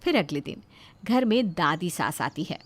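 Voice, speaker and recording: A woman's voice: on the low side, expressive, unhurried. A woman narrating a scripted moral story as its storyteller.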